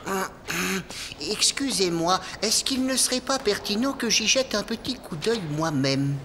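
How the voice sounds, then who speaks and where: A man's voice: high voice, expressive, measured pace, background noise. A man playing a sensible animal doctor in a children's cartoon, polite and slightly pompous. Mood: reasoning. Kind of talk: children's cartoon dubbing in French.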